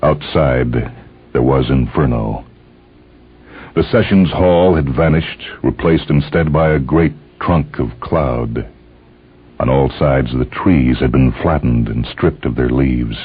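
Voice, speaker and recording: A man's voice: a bass voice, unhurried, breathy edge. A man narrating a scripted dramatic story. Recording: muffled phone-like band, faint music behind.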